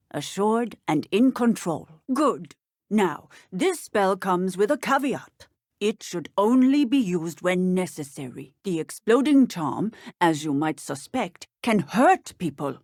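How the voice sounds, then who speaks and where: Strict lady magic teacher for a video game, precise and proper, takes her time, lively intonation. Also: mid-range voice, no room sound, clean studio sound. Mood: measured, instructive. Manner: formal, precise, schoolteacherly. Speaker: voice actor. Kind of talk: scripted video game dialogue.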